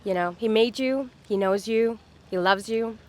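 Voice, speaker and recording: A woman's voice: a little high, moderate pace. Chatty upbeat woman in her thirties. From Dubai. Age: 30s. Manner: upbeat, chatty, many fillers. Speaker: street interview guest. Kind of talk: casual chat. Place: outdoors on a city street.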